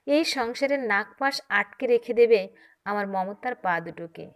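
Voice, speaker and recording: A woman's voice: medium pitch, lively intonation, unhurried. A woman voicing an anxious, dying mother who pleads earnestly in an audio story. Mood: anxious pleading.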